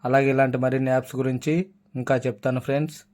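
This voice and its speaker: A man's voice: mid-range voice, level tone, racing, darkish tone. A man hosting a casual app tutorial, addressing listeners as friends. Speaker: YouTube app tutorial host.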